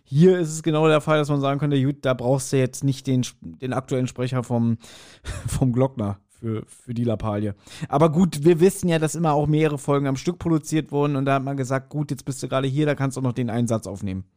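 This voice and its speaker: A man's voice: medium pitch, steady tone, fairly quick, darkish tone. A man chatting casually as a podcast co-host reviewing an audio drama, colloquial in his wording.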